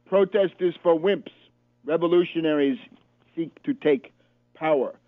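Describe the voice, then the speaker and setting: A man hosting a political radio show, reading passages aloud and commenting on them emphatically, often pausing on fillers. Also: a little high, no room sound. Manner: emphatic, reads quotes aloud and comments sharply; hesitant fillers between points. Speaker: radio host. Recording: tinny phone-line sound, very dry.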